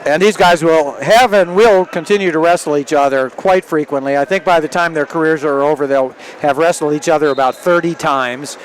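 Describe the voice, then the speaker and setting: An older man with a fairly high voice calls wrestling on the radio. He talks in a halting, conversational manner, and there is clear venue noise all around him. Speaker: play-by-play wrestling commentator, former wrestler and referee. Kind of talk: live radio sports commentary with casual banter. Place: indoor sports arena. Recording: noisy live arena broadcast. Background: loud venue noise.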